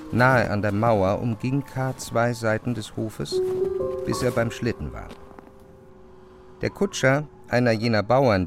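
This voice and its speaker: Low, creaky male literary narrator.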